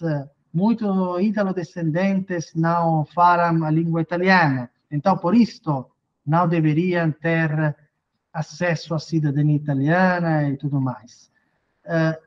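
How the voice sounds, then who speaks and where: Man explaining law over a dull video call. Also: fairly high pitch. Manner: hesitant, many fillers and restarts. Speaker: lawyer interviewed on citizenship law. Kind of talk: explanatory interview answers. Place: home office indoors. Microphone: video call webcam.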